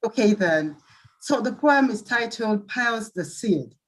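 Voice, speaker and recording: A woman's voice: a little high, expressive, measured pace. A woman joining an online poetry reading by audio, speaking politely and briefly before reading her poem. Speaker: guest poetry reader. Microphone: online call audio, no video.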